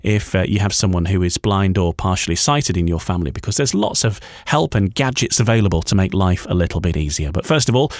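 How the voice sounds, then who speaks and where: A man's voice: deep voice, lively intonation, fast, hardly any pauses, dry recording, clean studio sound. A man hosting a radio interview, forming his questions a little hesitantly and repeating words as he goes. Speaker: radio host.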